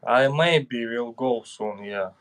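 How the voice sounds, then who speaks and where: A man from Russia with a Russian accent, hosting a casual chat in English and often agreeing along. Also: medium pitch. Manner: slow, chatty, agreeing often. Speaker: host.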